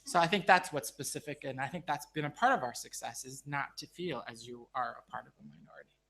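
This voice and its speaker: A man's voice: medium pitch, quick pace, creaky, bright, forceful tone. A man speaking on a panel, earnest and repetitive, with many fillers and restarts. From United States. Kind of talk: panel discussion remarks.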